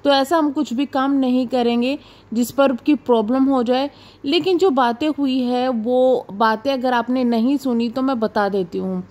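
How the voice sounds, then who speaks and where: High-pitched woman giving gossip commentary. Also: normal speed, a little mellow. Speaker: reaction video host. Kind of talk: informal gossip commentary to viewers.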